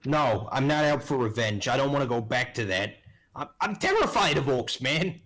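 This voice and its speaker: A man's voice: mid-range voice, big pitch swings, normal speed, a bit bright. A man playing a blunt, sweary, world-weary ex-slave adventurer in a tabletop game. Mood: defensive. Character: bitter male ex-slave adventurer, afraid of orcs.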